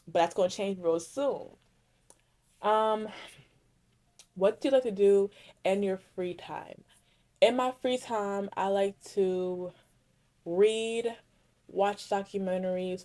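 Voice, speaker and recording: A young woman hosts a casual solo podcast, answering listener questions in a loose, rambling way and full of "like." Her voice sits a little low and sounds mellow and relaxed. She often corrects herself partway through a thought. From Connecticut, USA. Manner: rambling, self-correcting, heavy use of "like". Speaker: podcast host.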